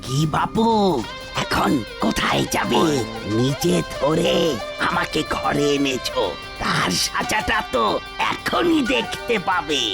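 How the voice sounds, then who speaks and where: Gravelly, slow-talking cartoon devil. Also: male voice, on the high side, big pitch swings, heavily acted. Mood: gloating. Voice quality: gravelly, creaky. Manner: theatrical, drawn-out scheming and pleading. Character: scheming devil, sly and boastful but cowardly. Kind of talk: animated children's folk tale dub. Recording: music behind the voice.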